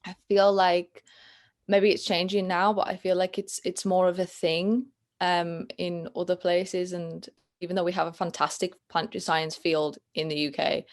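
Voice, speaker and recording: A young Yorkshire woman speaks on a video call, her voice sitting low with a gravelly fry. She talks thoughtfully, hedging and restarting as she works through her answers. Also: level tone, normal speed. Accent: Northern English, Yorkshire. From England. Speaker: guest, planetary science student. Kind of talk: casual interview chat.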